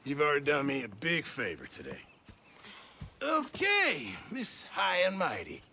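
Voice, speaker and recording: Wildly inflected sardonic camp cook. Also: male voice, on the high side, huge pitch swings, a little slow, pushed and bright, full character voice. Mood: sarcastic. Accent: American. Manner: sarcastic, needling. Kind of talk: video game acting. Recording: game dialogue with clear outdoor noise.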